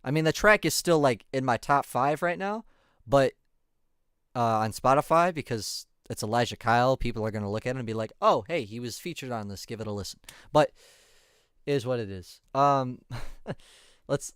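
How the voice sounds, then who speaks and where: A man's voice: medium pitch, normal speed, a bit bright, clean tone, dry recording, clean studio sound. A man in his twenties giving laid-back, chatty reaction commentary on music. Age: 20s.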